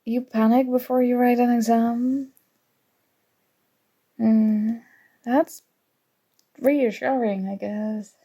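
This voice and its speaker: A woman playing a flustered, teasing young student rival in an intimate ASMR roleplay, soft-spoken, mock-annoyed and hesitant. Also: a little high, fairly even intonation, slow, long pauses. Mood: defensive, grudging.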